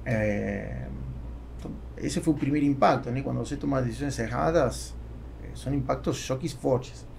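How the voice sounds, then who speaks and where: A man in his forties from Argentina with an Argentine Spanish accent, a native Spanish speaker answering conversationally in a podcast interview with halting phrasing. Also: medium pitch, gravelly, darkish tone, slight background noise. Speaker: entrepreneur, podcast guest. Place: small foam-padded podcast studio. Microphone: studio microphone on boom arm.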